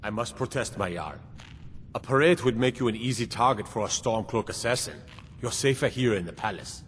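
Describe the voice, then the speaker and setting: A man's voice: medium pitch, lively intonation, a little fast, a bit bright, slight background noise, lightly acted. An actor playing a firm, protective male guardian at a royal court in a fantasy game. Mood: stern concern. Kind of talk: fantasy game character acting.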